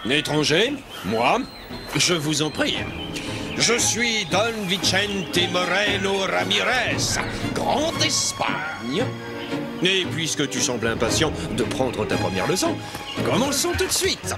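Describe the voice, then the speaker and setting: A proud Spanish nobleman and immortal mentor from a cartoon. He speaks grandly and solemnly, with a lively, theatrical melody. Loud music plays behind him. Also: sits high, slow, full character voice. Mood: haughty. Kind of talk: French-dubbed cartoon acting.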